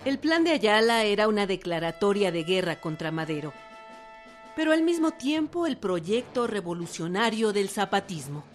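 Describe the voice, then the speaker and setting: A woman reads narration for a radio documentary drama. Her voice sits at a middle pitch, over soft background music. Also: sing-song, moderate pace.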